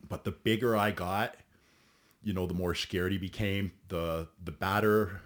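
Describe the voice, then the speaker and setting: A man's voice: low-pitched, fairly even intonation, unhurried. A man in his forties, a bodybuilder guest telling his story bluntly in a podcast interview, swearing freely and often restarting. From Ontario, Canada.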